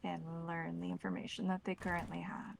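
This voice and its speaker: Woman playing a formal, creaky-voiced vampire elder. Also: lowish voice, level tone, slight background noise. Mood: measured, secretive. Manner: formal, slow, careful wording.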